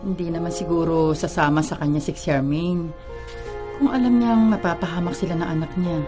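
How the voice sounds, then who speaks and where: A woman's voice: fairly low pitch, moderate pace, over music, natural voice. A woman playing a caring, protective middle-aged mother with a Filipino accent in a radio drama. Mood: tender, reassuring.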